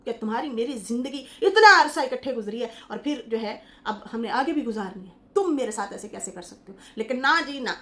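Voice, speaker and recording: Woman, commentary vlogger, scolding, retells others' words, fairly high voice. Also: lively intonation, fairly quick, hardly any pauses, fairly bright tone.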